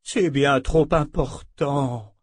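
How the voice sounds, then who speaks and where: Man doing an old abbess lady in a fantasy audiobook, worn out and breathy, tart, slow drawn out words. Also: mid-range voice, no echo, studio quality. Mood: grave, tired. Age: older. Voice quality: breathy, drained. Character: frail old abbess, bitter, sharp-tongued sorceress.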